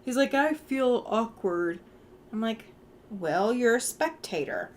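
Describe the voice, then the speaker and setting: Southern woman quilting at home, tired, chatty, laughs a lot; slow, slightly high voice, faint noise behind. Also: lively intonation, a little mellow. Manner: chatty, laughs often, thinks aloud with fillers. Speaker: quilting livestream host. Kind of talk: casual chat while quilting.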